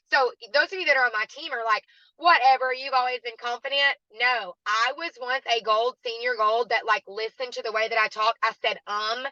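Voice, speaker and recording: A woman with a raspy, hoarse voice, giving a chatty, encouraging training talk as a guest speaker. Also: high-pitched, pushed and bright, no echo, highs cut off. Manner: chatty and encouraging, addresses listeners as "y'all".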